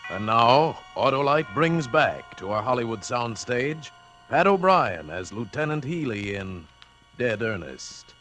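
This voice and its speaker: A man's voice: medium pitch, big pitch swings, a little slow, fairly bright tone, faint music behind. A man announcing a 1940s radio thriller show in a formal, theatrical style.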